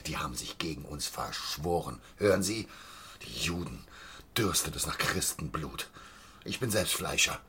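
Radio drama: obsessive butcher, deep and forceful, conspiratorial, relishing gruesome detail. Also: male voice, expressive, normal speed, husky, exaggerated voice. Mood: insistent. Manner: insistent, conspiratorial, dwelling on details. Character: obsessive, gruesome small-town butcher spreading hateful rumours. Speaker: actor in a radio play.

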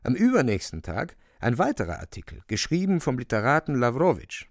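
Gravelly, biting, swooping storyteller. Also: male voice, mid-range voice, huge pitch swings, fairly quick, no room sound, studio quality, heavily acted. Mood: biting, indignant. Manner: emphatic, declaiming. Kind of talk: dramatic radio play narration.

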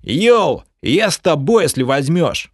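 A man voicing a boastful half-orc porter in an audiobook, talking in a loud, drawn-out sales pitch. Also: very animated, no room sound, clean studio sound. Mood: cheerful. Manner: shouty self-advertising drawl.

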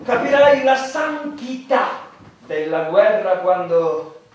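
An actor playing a grandiose patriotic orator, solemnly declaiming in a theatrical satire. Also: male voice, high-pitched, sing-song, slow, heavily acted. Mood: solemn fervor. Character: grandiose patriotic male orator exalting war. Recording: echoey, distant, faint noise.

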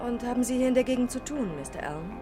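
A probing female detective played by an actress in a radio crime drama, with music running behind her. Her voice sits fairly high, and she is formal, clipped and sure of her authority. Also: expressive, very fast, dark tone, lightly acted. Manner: formal, clipped, insistent on rank. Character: self-assured, probing female police detective.

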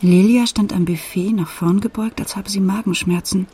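An actress playing a grown daughter who retells her family's past in measured, matter-of-fact radio play narration. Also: mid-range voice, steady tone, mellow, slight background noise, close to an everyday voice.

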